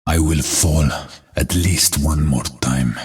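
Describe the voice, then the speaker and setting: An actor playing a weary, resolute warrior who accepts death, speaking solemnly in a husky voice as a video game character. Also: male voice, extremely low pitch, a little mellow, faint music behind, exaggerated voice. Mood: weary, accepting. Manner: solemn, measured delivery.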